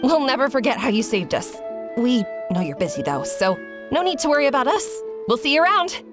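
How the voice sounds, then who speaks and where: A woman's voice: sits high, sing-song, brisk, over music. A woman voicing a friendly young female surfer who thanks her rescuers in a game cutscene. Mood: grateful. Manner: grateful, friendly.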